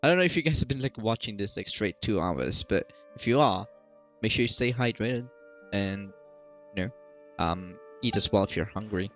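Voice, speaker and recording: A woman's voice: a bass voice, expressive, moderate pace, a bit bright. A woman giving casual, informal, rambling commentary as a gaming video host. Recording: faint game music behind.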